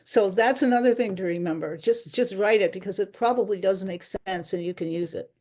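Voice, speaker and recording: A woman author answering interview questions in a chatty, rambling way, often pausing and laughing lightly. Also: medium pitch, mellow.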